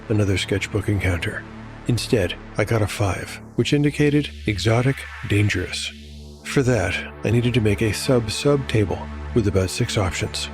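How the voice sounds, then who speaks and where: A man narrates a dark fantasy audio drama as its solo game master. His delivery is that of scripted storytelling and game commentary. Music plays clearly behind him, along with cricket sounds. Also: mid-range voice.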